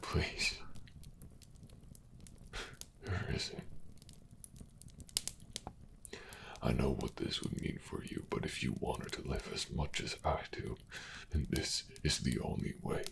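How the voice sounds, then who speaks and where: An actor playing a weary, dying miner in a fantasy audio drama, pleading in a weak, halting, breathy half-whisper. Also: male voice, a bass voice, background noise, full character voice. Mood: desperate pleading. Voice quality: gravelly, breathy rasp.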